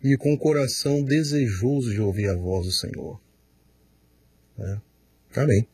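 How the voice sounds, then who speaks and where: A man, a pastor, answering questions in a studio, slow and emphatic, often repeating words as he explains. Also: medium pitch, expressive, dark tone, husky, no echo. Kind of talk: studio Q&A teaching.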